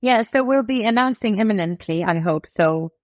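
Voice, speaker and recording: A woman in middle age who grew up in the UK, speaking as an interview guest. She is confident and animated, with a mid-range voice recorded close and dry. She builds her points with vivid examples. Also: mid-range voice, moderate pace, no echo. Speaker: company co-founder, guest. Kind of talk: casual interview talk.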